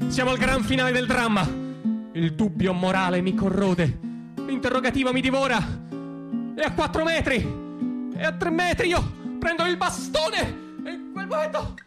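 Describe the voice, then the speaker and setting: A man performing a dramatic comic stage monologue as an anxious, conflicted dreamer, halting and repeating himself in agitation. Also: very high-pitched, pitched above the performer's own voice. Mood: mounting panic. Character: anxious, conflicted dreamer, a man torn between conscience and panic.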